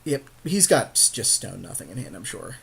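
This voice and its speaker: A man's voice: lowish voice, sing-song, moderate pace, vocal fry, fairly bright tone, slightly rough. A man co-hosting casual card game commentary, agreeable and slangy with a jokey streak.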